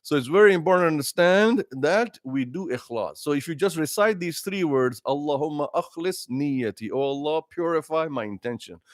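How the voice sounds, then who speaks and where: A middle-aged man, an imam, giving an earnest livestreamed religious talk with numbered tips and pauses to greet viewers. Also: on the high side, expressive, dry recording, studio quality.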